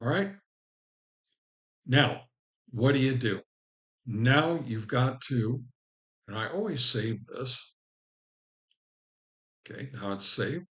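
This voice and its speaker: A man hosting a casual how-to video, talking informally and somewhat haltingly as he explains each step. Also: mid-range voice, lively intonation, fairly bright tone. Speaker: host of a ham radio how-to channel. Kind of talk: casual tutorial narration.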